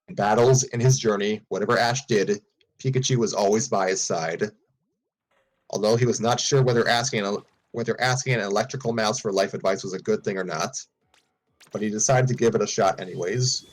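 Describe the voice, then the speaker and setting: A man reads a fan story aloud in a casual way, often tripping over words and starting lines again. His voice sits low with a husky grain. Soft music plays faintly behind him. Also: low-quality recording. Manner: frequent stumbles and restarts. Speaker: streamer reading fan fiction aloud.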